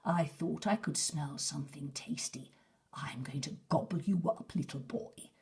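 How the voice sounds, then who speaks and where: A big, fierce storybook wolf acted by a woman reading aloud, greedy and menacing. The words come in a low, drawn-out growl. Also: vocal fry, a little mellow, in a whisper.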